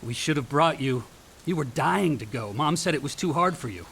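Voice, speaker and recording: A man's voice: on the high side, lively intonation, normal speed, gravelly, fairly bright tone, a little hiss or hum. A male actor playing a moody, self-deprecating young grad student son with wry delivery in a radio play. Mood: wry, nostalgic. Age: young adult. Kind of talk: naturalistic radio drama dialogue.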